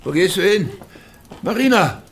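An actor playing a tense, defensive provincial police chief in a noir radio drama, agitated, insistent and clipped. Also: male voice, sits high, expressive, moderate pace, noisy background. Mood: exasperated.

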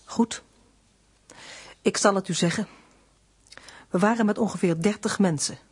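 A woman's voice: medium pitch, measured pace, breathy edge. An actress playing a defiant, guarded young woman under arrest in a radio play, speaking in a terse, controlled way. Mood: controlled, accusing. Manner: terse, controlled, plain recounting.

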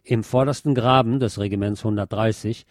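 A man's voice: fairly low pitch, fairly even intonation, fairly quick, no room sound, studio quality. A man hosting a radio show, presenting a film review in a semi-scripted narrating style.

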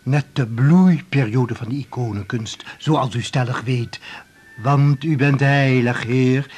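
An actor playing a courtly, ingratiating icon collector in a radio drama, speaking in a formal, flowery, pleading manner. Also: male voice, mid-range voice, takes it slowly, a little mellow, breathy edge. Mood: reverent. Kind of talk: theatrical radio drama dialogue.

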